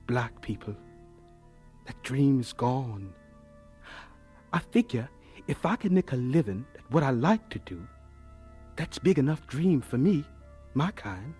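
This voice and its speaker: An actor playing an earnest, dreamy young homesteader in a radio drama, with a wistful, folksy, heartfelt way of talking. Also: male voice, mid-range voice, sing-song, a little slow, pauses often, warm tone, faint music behind. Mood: resigned, reflective. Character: earnest, dreamy young homesteader, later recalling his life.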